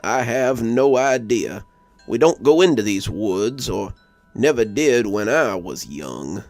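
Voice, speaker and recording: A man's voice: on the low side, animated, a little mellow, dry recording, faint music behind. A man voicing a solemn, stoic local who recounts his tribe's old legend in a horror story. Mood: uneasy. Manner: grave, stoic, deliberate. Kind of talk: storybook dialogue in horror narration.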